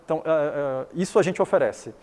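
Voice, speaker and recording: A man's voice: on the high side, fairly quick, darkish tone, in a large hall. A middle-aged man from Taiwan whose first language is Chinese, explaining his business in an interview, often restarting and repeating words.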